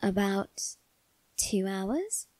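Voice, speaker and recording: A woman voicing a doting, secretive young male vampire aristocrat in a soft, intimate ASMR roleplay, with refined, coaxing phrasing. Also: medium pitch, sing-song, no echo. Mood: gently soothing. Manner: coaxing, gentle, unhurried, refined phrasing with tag questions. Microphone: close, dry microphone.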